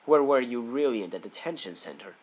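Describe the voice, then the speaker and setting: A man's voice: mid-range voice, lively intonation, a little fast, darkish tone, natural voice. A man playing a haughty, sneering eagle prosecutor in an audio drama, with a pompous, theatrical delivery. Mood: smug. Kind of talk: fan audio drama acting.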